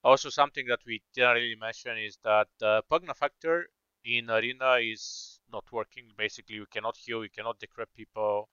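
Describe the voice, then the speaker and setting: A man's voice: medium pitch, fairly even intonation, a little fast, bright, forceful tone, clean tone, no echo. A man with a Bulgarian accent co-casting an esports match, analytical and hesitant with many fillers. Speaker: esports co-caster. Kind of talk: live game commentary. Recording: slightly dull, lo-fi mic.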